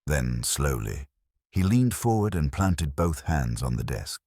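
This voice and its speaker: A man's voice: extremely low pitch, sing-song, a little slow, a little mellow, no room sound, studio quality. A man reading a first-person detective story as scripted narration. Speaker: audiobook narrator.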